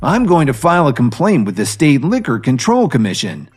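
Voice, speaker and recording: Man doing drunk rich brat, cocky and indignant, higher swingy voice, audio drama. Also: wildly swooping pitch, a little fast, darkish tone, slightly rough. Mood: outraged. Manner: boastful, indignant. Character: arrogant, drunken young rich man, quick to anger.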